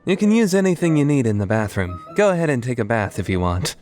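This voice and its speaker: A man playing an easygoing, oblivious and gently teasing young man in a fan-dubbed drama, speaking casually. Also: medium pitch, big pitch swings, fast, soft background music, natural voice. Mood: breezy, insistent. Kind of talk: fan dub drama acting.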